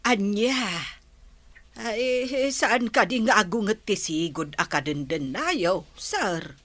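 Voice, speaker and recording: A woman's voice: medium pitch, animated, slow, a bit bright. A woman playing a hardworking, respectful older housemaid and grandmother in an audio drama, speaking deferentially. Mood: curious, concerned.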